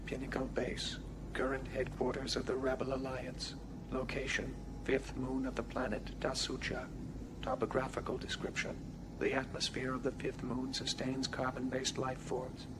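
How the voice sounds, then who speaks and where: A man's voice: medium pitch, completely flat delivery, moderate pace, natural voice. A man as a formal announcer under heavy noise. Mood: impersonal. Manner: clipped, impersonal, formal. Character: impersonal male military orientation recording announcer. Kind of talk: scripted briefing narration in sci-fi audio drama. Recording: very noisy comlink transmission.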